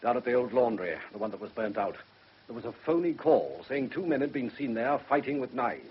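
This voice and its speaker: A man acting a gruff, exasperated senior police superintendent in a British radio drama, brusque and sardonic. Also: medium pitch, very animated, brisk, a little hiss or hum, natural voice. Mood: grim. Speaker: actor in a radio play. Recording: slightly muffled radio drama recording.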